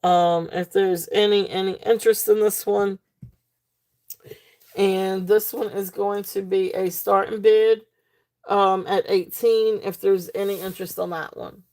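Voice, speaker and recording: Slow-talking, gravelly-voiced auction woman. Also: medium pitch, steady tone, mellow. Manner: chatty, fillers, reads comments aloud. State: losing her voice. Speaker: live sale host. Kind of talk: live auction selling chat.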